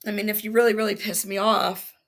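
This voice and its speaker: A woman's voice: medium pitch, level tone, fast, fairly bright tone. A woman in her fifties speaking on a livestream, defiant and sarcastic, breaking into laughs. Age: 50s. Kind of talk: casual livestream chat.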